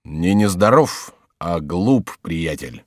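A man voicing a gluttonous, boastful, drunken monk in a heavy, drawn-out storybook delivery. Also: low voice, animated, no room sound, studio quality, pitched below the performer's own voice, heavily acted. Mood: slow, grumbling. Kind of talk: dramatised audiobook character acting.

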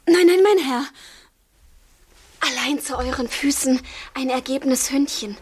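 Devoted teen servant girl, highish and slow, breathless poetic radio play style, music behind. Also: darkish tone, breathy edge. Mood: tearful, pleading. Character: devoted, impulsive fifteen-year-old servant girl, lovestruck and defiant. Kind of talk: theatrical German radio play acting.

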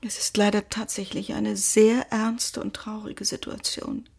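A tired, breathy mother. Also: medium pitch, flat delivery, a little slow. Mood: hushed. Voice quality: breathy, often whispering. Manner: soft, coaxing, hushed. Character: tired anxious middle-aged mother, gentle.

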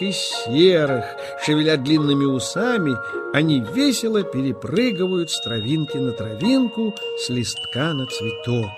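An actor playing the storyteller of a children's nature tale, with measured, expressive scripted narration. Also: male voice, a little high.

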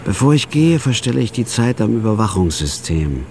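A man narrating a radio drama in the first person as a store clerk, in a flat, laconic, hard-boiled way. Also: lowish voice, a little fast, a little hiss or hum.

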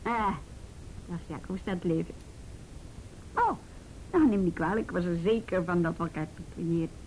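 A woman's voice: moderate pace, a little mellow, close to an everyday voice. A woman acting in a naturalistic radio drama as a wry, world-weary police officer with a dry, sardonic manner. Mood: businesslike. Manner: dry, sardonic, mildly swearing. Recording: noisy rumbly radio drama recording.

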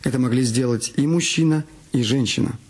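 A man's voice: medium pitch, brisk, darkish tone, slightly rough. A man reading a detective story as the narrator of a scripted radio production. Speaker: narrator of an audio drama. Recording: music under the voice. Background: background music.

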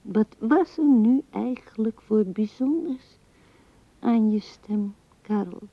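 An actress playing an old widow who muses tenderly and haltingly to her dead husband in a radio play, often sinking to a whisper. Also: a little high, no echo. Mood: tender reminiscing. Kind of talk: intimate radio play monologue. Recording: dull, dry vintage radio recording.

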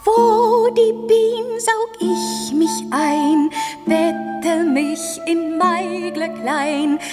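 Very high, singing airy sprite. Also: female voice, very slow, exaggerated voice. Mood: joyful, carefree. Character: carefree airy sprite singing of flowers and freedom. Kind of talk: lilting sung verse in radio drama. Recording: long hall reverb, loud music behind.

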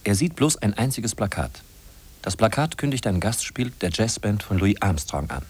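An actor as a matter-of-fact narrator in a 1950s radio drama, speaking in short scripted sentences. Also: male voice, on the low side, big pitch swings, moderate pace, slightly rough. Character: matter-of-fact male narrator following a stilt walker.

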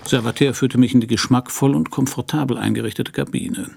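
An actor playing a middle-aged hard-boiled private detective in a radio crime drama, dry and laconic. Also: male voice, medium pitch, steady tone, fairly quick, darkish tone, a little hiss or hum. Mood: dry, wry. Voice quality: husky, gravelly.

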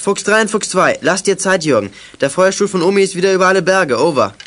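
A man's voice: high-pitched, expressive, fast talker, a bit bright. A young male actor playing a bossy, level-headed boy detective with clipped phrasing in a 1980s radio play. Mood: reproachful. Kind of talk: 1980s German youth radio play acting.

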